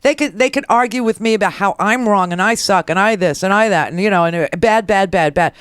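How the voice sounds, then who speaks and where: A woman's voice: medium pitch, brisk, smooth, no echo. Female talk radio host, sardonic and blunt, casual banter, dry studio sound. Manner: blunt, sardonic, salty humour.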